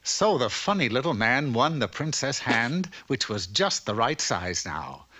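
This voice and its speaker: A man's voice: mid-range voice, very animated, measured pace, sharp tone. A man narrating a fairy tale as a wry, scripted storybook narrator.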